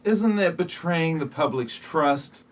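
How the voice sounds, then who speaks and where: A man's voice: fairly high pitch, animated, takes it slowly. A man playing an earnest, moralizing mysterious outsider in an amateur parody audio play. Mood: reproachful.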